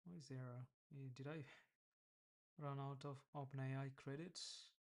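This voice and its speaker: A man with an Indian accent talks casually while working through his app. He thinks aloud, pausing, restarting and trailing off mid-sentence. His pitch stays in an ordinary male middle range. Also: steady tone. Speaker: vlogger building an app. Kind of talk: casual screen-recorded coding vlog.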